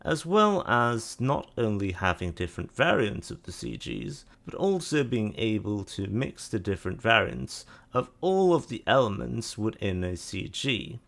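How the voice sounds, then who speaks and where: Male narrator, lowish register, highly animated pitch. Informal video-essay host, dryly sarcastic. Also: unhurried, creaky, hardly any pauses. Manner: wry, sarcastic asides.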